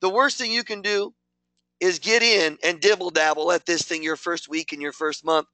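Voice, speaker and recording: A man's voice: high voice, steady tone, normal speed, sharp tone, no echo. A man giving a punchy, repetitive motivational training talk to a network marketing team. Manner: punchy, repetitive, hyped coaching.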